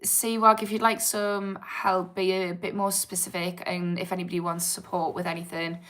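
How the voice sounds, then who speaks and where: Candid Geordie woman with a lowish voice. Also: little pitch movement, moderate pace, a bit bright. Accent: Geordie with a slightly posh twang. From England. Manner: candid, informal, rambling. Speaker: addiction therapist hosting a livestream. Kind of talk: casual livestream chat, reading and answering viewer comments.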